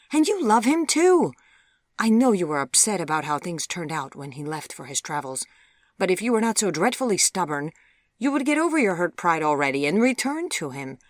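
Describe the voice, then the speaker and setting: A woman narrator plays an indignant middle-aged mother from a storybook. She is stern and scolding, with formal, emphatic phrasing. Her pitch climbs well above the narration and the recording is dry and close. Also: big pitch swings, moderate pace, no echo. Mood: exasperated.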